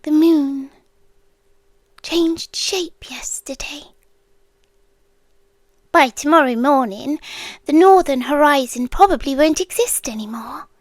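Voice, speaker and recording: British woman doing an ancient doomsday girlfriend thing, calm n clingy, high soft half whispered asmr voice, super slow. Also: no echo. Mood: soothing, eerily calm. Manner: slow, hushed, deliberate delivery. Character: ancient female apocalyptic being, calm possessive doting girlfriend.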